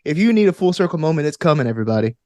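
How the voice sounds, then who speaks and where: A man's voice: fairly high pitch, big pitch swings, rapid-fire, a little mellow, no room sound, studio quality. A man talking casually as an interview guest, with an enthusiastic, geeky manner and a habit of rambling off on tangents. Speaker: podcast guest, actor and tabletop gamer.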